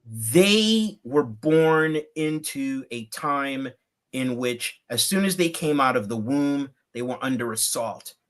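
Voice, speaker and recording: Fifties guy on a video call, takes his time, measured and thoughtful. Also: mid-range voice, animated, a bit bright. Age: 50s. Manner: measured, slow and deliberate, building points step by step. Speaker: guest. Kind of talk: reflective livecast conversation.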